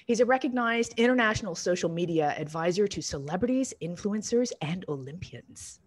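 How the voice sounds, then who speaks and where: A woman hosting a casual interview over a video call, chatty and quick to laugh at herself. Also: on the low side, expressive. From Canada. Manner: chatty, laughs easily, self-deprecating. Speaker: host. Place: home in Canada.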